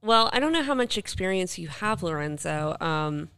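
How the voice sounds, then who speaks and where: A woman's voice: fairly low pitch, big pitch swings, moderate pace, fairly bright tone, smooth. A woman co-hosting a podcast in casual chat, with an informal, self-deprecating way of talking. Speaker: podcast co-host.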